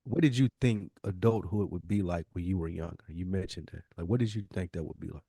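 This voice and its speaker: A man co-hosting a podcast, casually asking questions in a tentative, measured, attentive way. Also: low voice, creaky, mellow, husky, no room sound, studio quality. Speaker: podcast co-host.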